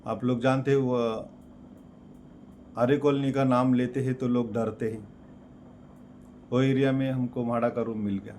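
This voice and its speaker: A man praying and then telling his personal testimony, with a halting delivery that keeps repeating words of address to God. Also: medium pitch, level tone, dark tone, a little hiss or hum. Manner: slow, halting delivery with many repeated "Lord" and "Father" fillers. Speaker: preacher giving a testimony.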